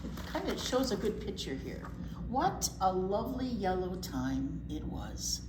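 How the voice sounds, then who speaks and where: An American woman reads aloud as a children's storytime teacher, slowly and with a very lively range. She draws words out for effect and slips in chatty asides. The room is echoey, with clear background noise. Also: mid-range voice. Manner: expressive, drawn-out storytelling. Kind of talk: picture-book read-aloud with chatty asides.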